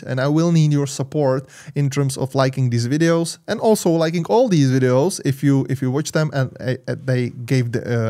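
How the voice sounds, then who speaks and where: A man in his thirties with a Czech accent, hosting a screen-share software walkthrough in a loose, rambling way with many fillers. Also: on the high side. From Czech Republic. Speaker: tech channel host. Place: home studio with purple lights. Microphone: large studio mic on arm.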